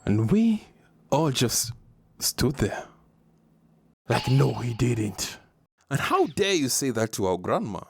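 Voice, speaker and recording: A young man from Kenya, telling a comedic scripted story in an informal, dramatic way. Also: medium pitch. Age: young adult. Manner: animated, informal, dramatic pauses for emphasis. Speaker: storyteller, video host.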